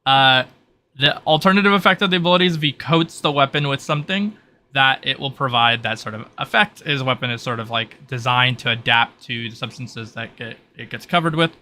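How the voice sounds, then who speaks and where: A man's voice: medium pitch, brisk, bright, forceful tone. A man acting as game master, casually explaining tabletop rules over a noisy home mic.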